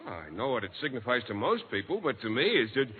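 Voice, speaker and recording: An actor playing a shy, oblivious biology teacher, earnest and lecturing, in a vintage radio comedy. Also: male voice, mid-range voice, big pitch swings, fast talker, lightly acted. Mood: bashful, hesitant. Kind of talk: 1940s radio comedy acting. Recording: muffled old radio, loud noise.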